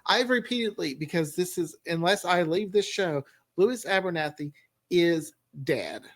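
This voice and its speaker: Halting man, higher voice, dry close sound. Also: lively intonation, dry recording. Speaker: audio drama creator, panelist. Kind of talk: casual chat.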